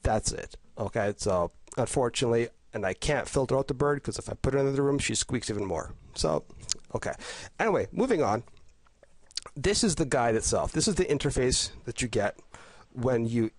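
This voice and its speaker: A man with a head cold talks through a game guide, his voice a bit stuffy and nasal. He sounds eager but keeps stumbling and restarting his sentences. His pitch sits in an ordinary middle range, and the recording is dry with no room echo. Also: sing-song. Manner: enthusiastic, halting, with many restarts and fillers. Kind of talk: casual gameplay voice-over commentary. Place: at home.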